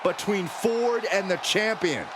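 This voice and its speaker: An actor playing an excitable wrestling commentator projecting his play-by-play in a video game. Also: sits high, measured pace. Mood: enthusiastic. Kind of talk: sports commentary. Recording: loud arena crowd noise.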